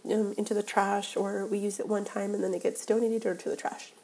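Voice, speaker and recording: A woman's voice: mid-range voice, mellow, rough recording. A young woman hosting a solo podcast, nasal and congested from a cold, talking earnestly with many fillers and restarts. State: stuffy from a cold. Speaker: podcast host. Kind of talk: solo casual podcast talk.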